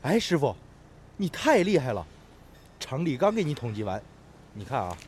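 An actor playing an eager, admiring young apprentice steel worker in a radio drama, earnest and excitable. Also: male voice, mid-range voice, moderate pace, husky. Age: young adult.

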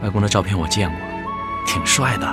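A man's voice: lowish voice, expressive, a little fast, lightly acted. An actor playing a fond, reverent grandson who tells his family's story in a scripted radio drama. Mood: curious, fond. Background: music behind the voice.

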